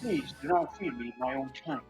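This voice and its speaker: A man's voice: mid-range voice, a little fast, music in the background, close to an everyday voice. A man voicing a small, food-loving slime creature in a game fan dub, with formal, old-fashioned, pompous diction. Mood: stiffly courteous.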